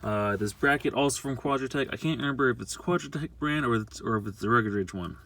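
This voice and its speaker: A man's voice: mid-range voice, fast talker, a bit bright. A young man talking in a casual, unscripted how-to vlog, rambling and repeating himself in a conversational way. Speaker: amateur video maker showing a car project.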